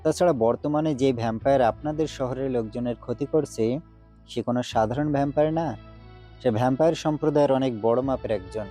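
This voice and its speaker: A man's voice: mid-range voice, fairly quick, mellow, natural voice. A man voicing a resolute, duty-bound demon hunter in an audio drama, speaking earnestly and solemnly. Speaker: voice actor. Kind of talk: Bengali audio drama acting. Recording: faint background music.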